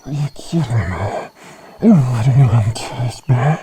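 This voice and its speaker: A woman voicing a frightened, indignant captive man in a fantasy audio roleplay, husky and breathy, protesting and pleading. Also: rumbling low, lively intonation, unhurried, dark tone, exaggerated voice. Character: indignant, terrified captive man about to be eaten.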